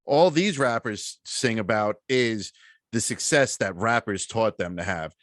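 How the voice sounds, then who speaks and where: A man with a low voice co-hosts a casual podcast. He tells things in a lively way, full of slang and swearing. The recording is dry and close. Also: a little slow, dry recording. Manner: animated storytelling with slang and swearing. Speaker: podcast co-host.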